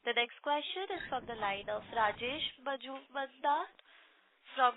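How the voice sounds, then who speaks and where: A woman's voice: high-pitched, animated, measured pace. A woman making formal scripted announcements as a conference call operator. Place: conference call over phone line. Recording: thin phone line, faint noise.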